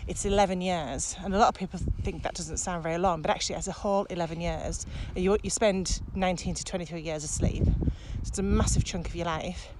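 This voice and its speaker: A woman's voice: fairly low pitch, fairly even intonation, fast, few pauses. A British woman co-hosting a podcast in casual conversation, recorded outdoors while walking. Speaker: podcast co-host, corporate trainer. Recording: outdoor recording with clear traffic noise.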